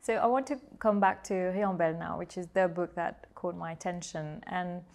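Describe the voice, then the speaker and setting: A woman with a fairly low voice interviews an author, posing long thoughtful questions. She affirms her guest often and laughs softly along with her. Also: fairly even intonation, normal speed, creaky. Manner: encouraging, frequent affirmations and soft laughs. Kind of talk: conversational interview.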